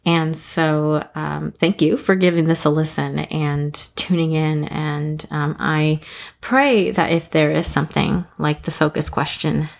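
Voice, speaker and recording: Podcast host, woman in her thirties, low gravelly voice, earnest devotional monologue with lots of ums. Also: steady tone, a little slow. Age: 30s. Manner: earnest, rambling, frequent ums and soft laughs. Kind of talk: reflective devotional talk.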